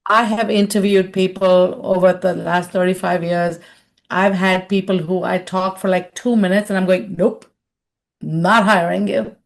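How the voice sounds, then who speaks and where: A woman's voice: on the low side, level tone, a little slow, gravelly. A middle-aged woman from India answering a question in a webinar, talking conversationally and acting out quoted voices over a video call. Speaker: webinar speaker and consultant.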